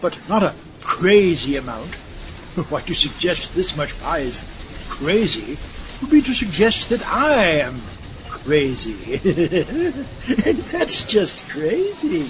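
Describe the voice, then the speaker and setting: Man playing a pompous mad scientist, animated and high-pitched. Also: slow. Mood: indignant. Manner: theatrical, grandiose, sarcastic. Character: pompous, vain male mad scientist, gleefully contemptuous. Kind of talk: comic audio drama acting. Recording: dull lo-fi recording, faint noise.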